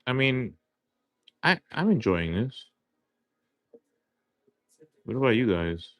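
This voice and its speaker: A man's voice: medium pitch, sing-song, takes it slowly, no echo. A man in his thirties hosting a casual livestream, joking and rambling in slangy talk. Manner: joking, rambling, often says "you know what I'm saying". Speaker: livestream host.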